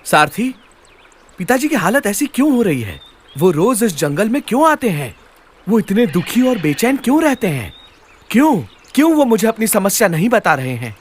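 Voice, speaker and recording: Noble young prince, sweeping intonation. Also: male voice, high-pitched, big pitch swings, moderate pace, a little hiss or hum. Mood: anxious, questioning. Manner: declamatory, solemn vow-making. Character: noble young crown prince, devoted son, resolute and proud. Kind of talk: dramatic animated mythological dialogue.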